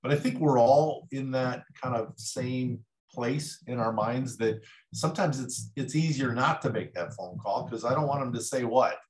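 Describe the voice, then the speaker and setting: A man's voice: mid-range voice, normal speed, darkish tone. Guy hosting a real estate stream, upbeat and encouraging, says yep a lot, roomy echo. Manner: encouraging, lots of affirming "yep"s. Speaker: livestream host, real estate broker support. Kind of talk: casual coaching chat.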